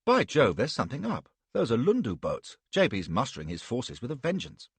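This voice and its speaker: A man's voice: deep voice, big pitch swings, measured pace, fairly bright tone, breathy edge, dry recording, exaggerated voice. A man doing a boisterous British officer. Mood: excited. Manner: exuberant, boisterous. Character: excitable hearty British officer.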